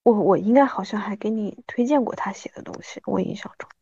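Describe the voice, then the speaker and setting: Female podcast guest, thirties, mid-pitched, animated and self-mocking casual chat, slightly muffled sound. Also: a little fast, gravelly, breathy edge, dry recording. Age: 30s.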